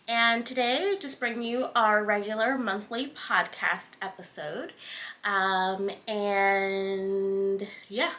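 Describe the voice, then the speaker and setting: Congested woman hosting a knitting podcast. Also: on the high side. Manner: relaxed, chatty, with many fillers. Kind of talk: casual chat.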